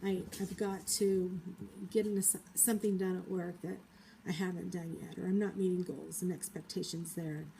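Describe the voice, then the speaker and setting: A woman with a cold, talking through her feelings in a thoughtful, hesitant personal vlog monologue with many pauses. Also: fairly low pitch, flat delivery, gravelly, mellow, a little hiss or hum, rough recording. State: has a cold. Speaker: vlogger.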